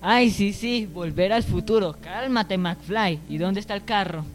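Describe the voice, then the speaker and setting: Man playing a high-voiced, courteous young townsman. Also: a little slow, soft background music. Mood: teasing. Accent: Colombian. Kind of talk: amateur radio drama acting.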